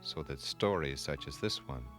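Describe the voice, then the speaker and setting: Low-voiced male storyteller, dramatic and measured. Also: animated, over music. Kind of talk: scripted children's myth narration.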